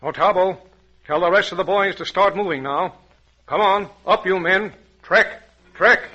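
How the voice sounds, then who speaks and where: Forceful, husky radio safari leader. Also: male voice, high voice, full character voice. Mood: urgent, commanding. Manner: brisk, authoritative, fatherly. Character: commanding, fatherly male African safari adventurer. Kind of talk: 1940s radio adventure acting. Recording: dull lo-fi vintage radio recording.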